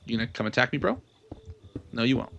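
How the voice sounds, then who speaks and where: A man's voice: mid-range voice, animated, quick pace, gravelly, a bit bright, dull audio, a little hiss or hum. A young man chatting casually as he hosts a gaming livestream, rambling and thinking aloud as he answers his viewers. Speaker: livestream gamer host.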